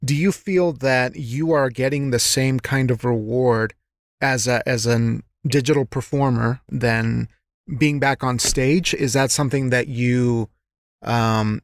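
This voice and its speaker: A man's voice: mid-range voice, no echo. A man with a mid-range voice interviews a guest in a very dry-sounding recording. He is conversational, appreciative and encouraging as he hosts. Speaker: podcast host, interviewer.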